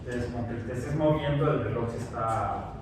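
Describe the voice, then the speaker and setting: A man's voice: medium pitch, moderate pace. A man in his sixties, a science teacher, chats loosely with his students and wanders from one anecdote to the next, stopping and restarting mid-sentence. He sits far from the mic in a very echoey classroom with noise behind him. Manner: rambling, anecdotal, many fillers and restarts. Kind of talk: casual chat with students before class. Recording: very echoey room, distant mic, background noise.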